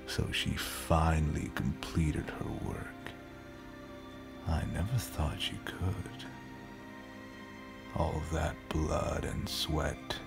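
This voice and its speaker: A man acting a cold, condescending space pirate captain and father in an audio drama, with a gravelly voice that drops into breathy whispers. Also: very deep voice, heavily acted. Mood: scornful. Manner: slow, measured, detached, menacing. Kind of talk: scripted audio roleplay drama.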